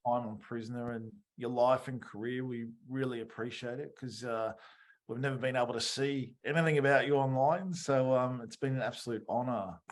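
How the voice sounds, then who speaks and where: Male podcast host, average pitch, informal, supportive and appreciative. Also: steady tone, normal speed. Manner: appreciative, reassuring. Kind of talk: casual interview, reading out viewer comments.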